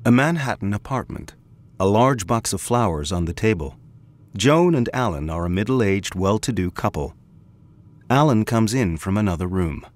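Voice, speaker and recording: A man's voice: lowish voice, expressive, normal speed, natural voice. A man narrating a radio drama, reading stage directions in a concise, matter-of-fact way. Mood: neutral, matter-of-fact.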